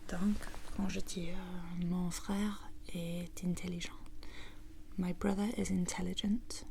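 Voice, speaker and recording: Slow, whispering woman with English accent. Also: lowish voice, flat delivery, vocal fry, a little hiss or hum. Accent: English-speaking. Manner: mostly whispering, gentle step-by-step explaining. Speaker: language teacher in an ASMR video. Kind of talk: whispered ASMR lesson.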